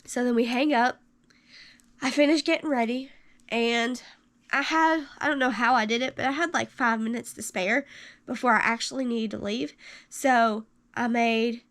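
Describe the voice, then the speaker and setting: A young woman in her twenties telling a personal story to camera, animated, rambling with fillers and jumping up in pitch for quoted lines. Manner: rambling with many fillers and restarts. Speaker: vlogger.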